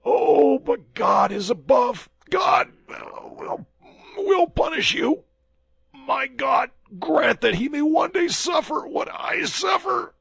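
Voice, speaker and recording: Dying young soldier, anguished and accusing, high strained cries, swooping delivery, dry close sound. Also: male voice, lively intonation, takes it slowly, gravelly, a bit bright, no echo, heavily acted.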